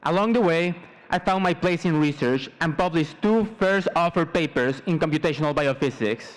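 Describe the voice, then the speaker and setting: A man in his twenties with a fairly high-pitched voice delivers a prepared student address at a commencement. He grew up in Mexico and speaks formally, measuring out his words in short phrases. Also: moderate pace, rough recording. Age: 20s. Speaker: student salutatorian.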